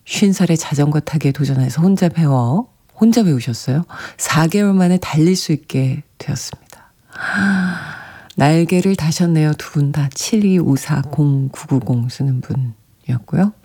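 Mellow radio host reading listener notes, soft and a bit breathy, laughs quietly a lot. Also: medium pitch, a little slow. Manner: gentle, chatty, often laughing softly.

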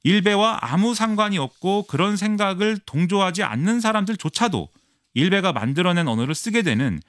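Male pundit, quick and lively, higher voice, dry close sound. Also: no room sound. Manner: fast, animated explaining with many fillers. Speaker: political commentator. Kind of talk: radio current-affairs analysis.

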